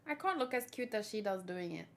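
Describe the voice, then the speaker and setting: A woman host doing an excited, playful read-along of a manga, full of exclamations and acted bits. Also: medium pitch, creaky. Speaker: host reading a manga chapter aloud.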